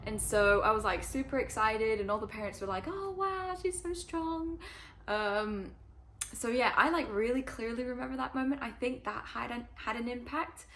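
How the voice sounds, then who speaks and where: A woman's voice: on the high side, lively intonation, moderate pace, a bit bright. A young woman from Switzerland with a British accent tinged northern, chatting casually to camera and answering viewer questions with lots of ums and likes. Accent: northern English-tinged British. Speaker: climber and video creator.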